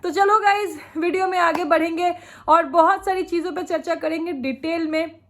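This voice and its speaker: A woman's voice: extremely high pitch, moderate pace. A woman vlogger, chatting opinionatedly about other creators while she has a sore throat from a cold and laughs often. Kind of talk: casual reaction vlog commentary.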